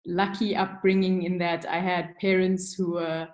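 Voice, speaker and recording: A woman's voice: fairly low pitch, flat delivery, measured pace, a little mellow. A middle-aged South African woman, a creative director, chatting candidly as a webinar guest, with many ums and restarts. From South Africa (Durban). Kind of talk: casual webinar chat. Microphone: video call.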